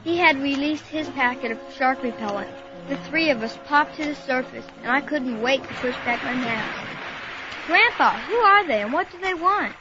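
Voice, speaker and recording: A woman's voice: sits high, moderate pace, lightly acted. A woman playing an earnest, frightened young boy who narrates an adventure story dramatically. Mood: frightened, urgent. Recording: dull lo-fi recording, music behind.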